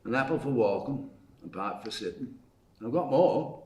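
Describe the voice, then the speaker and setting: Roomy stage recording. Male actor as an eccentric older English countryman, gruff and wry, lowish voice with swooping intonation. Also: expressive, moderate pace, darkish tone, natural voice. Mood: relaxed, friendly. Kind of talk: live screenplay table read. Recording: roomy live theatre stage.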